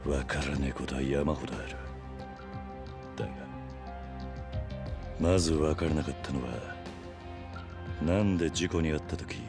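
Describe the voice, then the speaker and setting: Very deep-voiced stoic yakuza. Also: male voice, over music. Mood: resolute. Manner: terse, measured, grave. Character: stoic, protective middle-aged yakuza man, gruff and grave. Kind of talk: dramatic Japanese video game acting.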